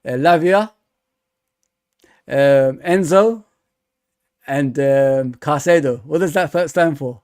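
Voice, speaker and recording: A man's voice: fairly high pitch, takes it slowly, pauses often, darkish tone, dry recording, clean studio sound. A man hosting a casual fan livestream, laughing often and reading viewer comments aloud.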